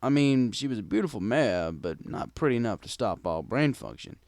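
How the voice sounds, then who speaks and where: A man's voice: medium pitch, animated, fairly quick, darkish tone, no echo. A man reading a first-person story in a wry, conversational storytelling manner. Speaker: fan fiction reader.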